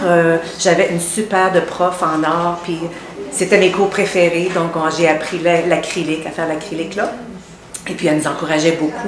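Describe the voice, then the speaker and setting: A woman with a Franco-Ontarian French accent, a painter who talks haltingly with many restarts. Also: lowish voice, noisy background. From northern Ontario. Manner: hesitant, with many fillers and restarts. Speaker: painter, former graphic designer. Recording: echoey room with a crowd behind.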